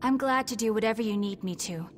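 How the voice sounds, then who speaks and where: A woman's voice: a little high, fast talker, a little hiss or hum, lightly acted. An actress playing an earnest, polite and determined young woman fighter in a video game cutscene. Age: young adult. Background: background music.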